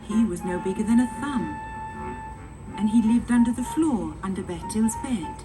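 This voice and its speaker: Loud music plays under a woman narrating children's tales as a scripted storyteller. Her voice sits at a middle pitch and sounds slightly away from the microphone. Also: normal speed, distant mic.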